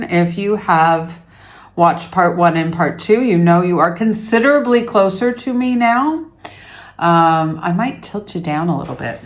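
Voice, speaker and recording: A middle-aged woman from Canada hosting a yarn vlog from her dining room at home, chatting casually to the camera in a rambling, self-deprecating way with many fillers. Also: fairly low pitch. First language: English. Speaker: yarn vlogger host.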